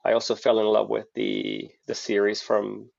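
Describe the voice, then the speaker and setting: A man's voice: on the low side, very animated, moderate pace, creaky, darkish tone, dry recording. A middle-aged man from Hungary, chatting as a guest on a podcast and telling long stories with lots of restarts. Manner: chatty storyteller with frequent restarts. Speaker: guest, company founder. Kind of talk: casual podcast interview. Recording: dull lo-fi dry audio.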